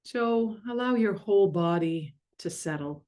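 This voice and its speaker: A woman leading a guided meditation in a gentle, soothing, unhurried way with long pauses. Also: medium pitch, expressive. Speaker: meditation guide and mindfulness coach.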